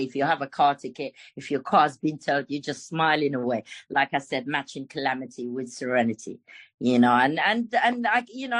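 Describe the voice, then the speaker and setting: A woman's voice: fairly low pitch, fairly bright tone, no echo. Frank, emphatic woman from Morocco. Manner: frank, emphatic, swears freely. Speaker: recovery meeting speaker. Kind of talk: personal recovery share.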